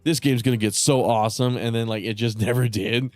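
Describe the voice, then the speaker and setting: Rambling man streaming, voice going raspy. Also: medium pitch, steady tone, a little fast, a little mellow, dry recording, faint music behind. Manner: rambling, profane, many fillers and restarts. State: voice getting raspy. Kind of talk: casual live-stream chat with viewers.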